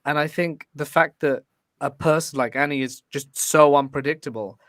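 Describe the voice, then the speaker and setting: A man's voice: on the high side, fairly even intonation, fairly bright tone, no room sound. Man arguing steadily on a dry mic. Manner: measured, building an argument. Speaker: debate guest, podcast host. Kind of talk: casual podcast debate.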